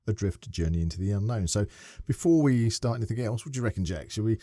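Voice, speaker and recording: A man co-hosting a podcast in casual chat, animated and playful, reading a synopsis aloud. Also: fairly low pitch, fast, dry recording. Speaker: podcast co-host.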